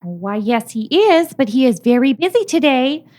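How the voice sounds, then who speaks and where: Woman playing a fluttery, high-voiced receptionist. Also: big pitch swings, normal speed, darkish tone. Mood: politely stalling. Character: scatterbrained, polite female police station receptionist. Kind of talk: audio drama acting.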